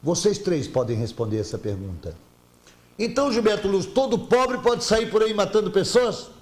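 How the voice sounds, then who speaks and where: A man hosts a studio panel debate with a somewhat high voice. He reads viewer messages aloud and pushes his guests with pointed, emphatic questions. The studio sound is roomy. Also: animated, moderate pace. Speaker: TV talk show host.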